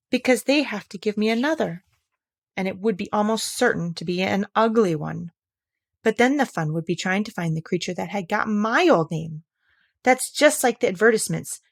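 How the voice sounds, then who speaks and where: A woman's voice: mid-range voice, creaky. A woman voicing a timid, thoughtful little girl from a children's storybook, hesitant and musing aloud to herself. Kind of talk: children's storybook character reading.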